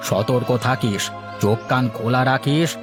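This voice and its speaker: A man's voice: medium pitch, normal speed, close to an everyday voice. A man voicing a cryptic old holy man in a cartoon, grave and ominous in manner. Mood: grave warning. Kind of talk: Bengali moral-story cartoon voice acting. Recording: music behind the voice.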